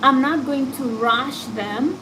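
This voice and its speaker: A woman's voice: sits high, slow pace. A woman with an African accent, talking casually and reflectively as a podcast host. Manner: candid, reflective, talks to a guest and listeners. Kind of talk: casual chat. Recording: steady background noise.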